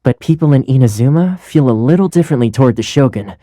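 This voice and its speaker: An actor playing a friendly young male housekeeper in a video game, speaking in a warm, easygoing, earnest and chatty way. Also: medium pitch, expressive, fast, no echo, studio quality, natural voice. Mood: earnest, thoughtful. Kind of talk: scripted game character voice lines.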